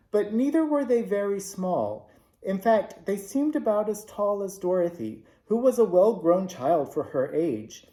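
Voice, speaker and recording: A man reads a classic children's novel aloud in a measured, expressive way. His voice is mellow and relaxed. The room gives the recording a clear echo. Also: on the high side. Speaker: storybook reader. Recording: roomy echo.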